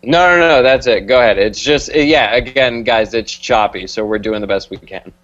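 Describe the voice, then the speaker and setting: A man's voice: mid-range voice, normal speed, fairly bright tone, dull audio. A man co-hosting a podcast over an internet call, talking in an informal, tentative way. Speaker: podcast co-host.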